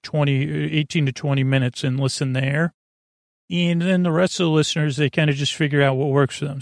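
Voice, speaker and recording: A man hosting a sleep podcast in a rambling, halting, self-deprecating bedtime monologue full of tangents, with a creaky voice close to the mic. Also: no room sound. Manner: self-deprecating, low-key.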